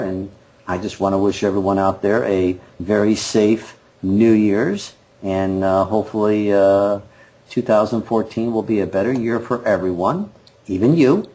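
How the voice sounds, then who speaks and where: A man's voice: on the low side, moderate pace, mellow, low-quality recording. A man in his fifties co-hosting a bowling podcast in casual, joking, self-deprecating chat. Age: 50s. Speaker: podcast co-host.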